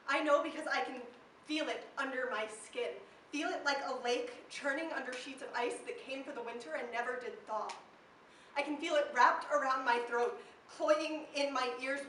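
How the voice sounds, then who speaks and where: A woman's voice: high-pitched, bright, forceful tone. A young woman of seventeen reciting spoken-word poetry live with impassioned, emphatic, dramatic delivery. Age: teenager, seventeen. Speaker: slam poet performing. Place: live poetry slam venue, indoors. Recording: echoey room, distant mic, faint noise.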